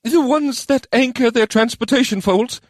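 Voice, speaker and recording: A man voicing a nervous male goblin advisor in an audiobook, squeaky, servile and prone to stammering. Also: normal speed, dry recording, studio quality. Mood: anxious, eager. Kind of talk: audiobook character voice.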